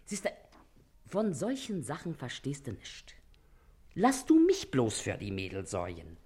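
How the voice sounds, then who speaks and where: A woman's voice: a bass voice, wildly swooping pitch, unhurried, warm tone, husky, exaggerated voice. Bossy scolding working-class mother, wildly swooping voice. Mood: nagging. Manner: nagging, blustery, colloquial. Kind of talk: German radio play acting.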